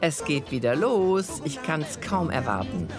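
A woman narrating a travel show with lively enthusiasm. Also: deep voice, a little slow. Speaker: host. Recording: voice-over with loud music behind.

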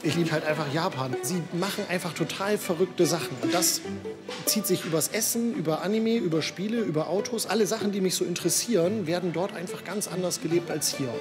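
A man's voice: high voice, fairly quick. A man who manages a restaurant, speaking in an interview in a casual, enthusiastic way. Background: loud music.

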